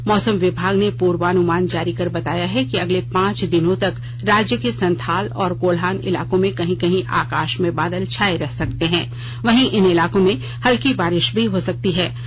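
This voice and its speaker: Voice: medium pitch, steady tone. Formal news reader, dull noisy radio sound. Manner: formal, measured reading. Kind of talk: scripted radio news bulletin. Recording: dull lo-fi radio audio with noise and hum.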